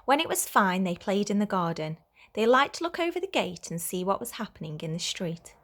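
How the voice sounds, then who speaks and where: A woman's voice: mid-range voice, very animated, fairly quick, a bit bright. Woman reading a children's storybook. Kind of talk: children's storybook read-aloud.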